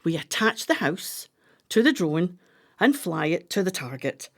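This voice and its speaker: Woman playing a scheming pensioner, animated voice. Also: fairly low pitch, unhurried, heavily acted. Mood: conspiratorial, businesslike. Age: older. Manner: conspiratorial, theatrical, exact. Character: scheming, theatrical female pensioner, rebellious and precise. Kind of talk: radio play acting.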